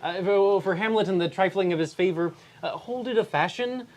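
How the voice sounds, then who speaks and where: A Canadian woman reads an earnest young brother cautioning his sister. The reading is casual and unrehearsed, with many filler words and restarts. Her voice sits at a middle pitch. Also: fairly quick, a little mellow, close to an everyday voice. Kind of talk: casual table read of a classic play.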